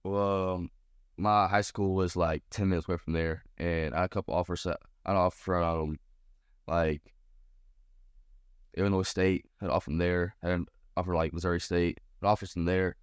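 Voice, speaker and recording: A man's voice: low voice, little pitch movement, moderate pace, clean tone, dry recording, clean studio sound. An American man of about twenty giving casual, slangy interview answers as a college baseball player. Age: around 20. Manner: informal, slangy.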